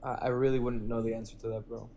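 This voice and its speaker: A man's voice: medium pitch, level tone, fast talker, warm tone. A man in his twenties from Venezuela and Spain, chatting casually with laid-back slang. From born in Venezuela, raised in Spain. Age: 20s. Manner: laid-back slangy speech, says "man" and "bro" often. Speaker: crypto live stream participant. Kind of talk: casual chat. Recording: clearly noisy live stream audio.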